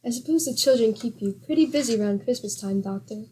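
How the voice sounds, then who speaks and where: An actress playing a patient, good-humored wife with a teasing, exclamatory manner in a 1940s-style radio comedy. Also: female voice, fairly high pitch, fast, dark tone, slight background noise, close to an everyday voice, rough recording.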